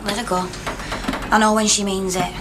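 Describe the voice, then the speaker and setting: An actress playing a supportive, steadfast miners' wife in a naturalistic radio drama. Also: female voice, medium pitch, flat delivery, moderate pace, noisy background, close to an everyday voice. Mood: steady resolve.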